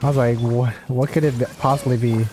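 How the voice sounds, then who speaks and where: Female streamer, deep register, fast casual chat over faint game music. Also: fairly even intonation, mellow, rough recording. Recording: game music playing behind.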